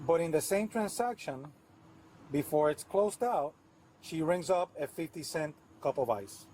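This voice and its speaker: A man's voice: a little high, animated, unhurried, darkish tone, slight background noise, poor audio. A man with a Puerto Rican accent, a police officer patiently explaining a case step by step at a front door. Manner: patient, detailed, methodical. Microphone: body camera.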